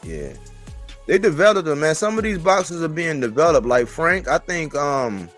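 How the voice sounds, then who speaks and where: A man in his forties with a slightly raised pitch, talking as a commentator on a live sports stream. He speaks casually and colloquially, often repeating phrases to drive a point home. Music plays faintly behind him. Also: sing-song, normal speed, fairly bright tone.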